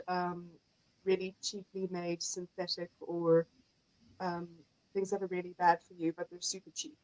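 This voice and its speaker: A woman with a South African accent, explaining things in an articulate, measured way as an expert guest in a conversation. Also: fairly low pitch, a little mellow, dry recording, rough recording. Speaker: guest expert, naturopathic herbalist. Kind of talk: explanatory conversational interview talk.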